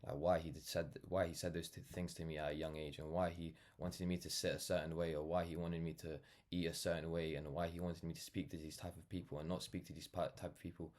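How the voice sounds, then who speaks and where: A young man from London with a notably low voice. He talks off the cuff in a reflective, earnest monologue. His delivery rambles, leaning on "like" and "you know" as he goes. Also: flat delivery, fast talker, few pauses, darkish tone. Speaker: vlogger, brand founder. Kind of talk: casual reflective monologue to camera.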